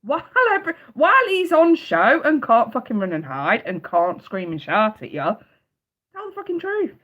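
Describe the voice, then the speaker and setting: A woman's voice: fairly high pitch, big pitch swings, normal speed, highs cut off. Blunt, cussing woman with a cold. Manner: blunt, swears freely, fired up. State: stuffy nose from a cold. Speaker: tarot reader. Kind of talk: live-stream tarot reading.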